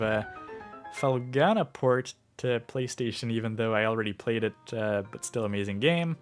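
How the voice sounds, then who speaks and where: A man streaming a video game, musing aloud with lots of fillers while sick and losing his voice. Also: medium pitch. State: sick, starting to lose his voice. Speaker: gaming livestreamer. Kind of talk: casual gameplay commentary.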